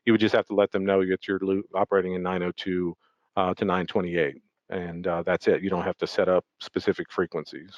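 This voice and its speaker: A man's voice: low-pitched, flat delivery, fairly quick, dry recording. A man giving an explanatory, unscripted product presentation in a webinar, hesitating often with ums and repeated words. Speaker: product presenter for an audio equipment maker. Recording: dull, lo-fi webinar audio.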